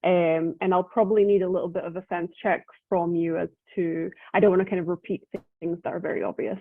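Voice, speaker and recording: A woman's voice: on the low side, flat delivery, fast talker, dry recording. Woman, Scottish Israeli accent, conversational talk, phone-like sound, dry. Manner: articulate, many ums, conversational. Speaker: guest speaker. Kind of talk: informal talk. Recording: tinny dry phone-like call audio.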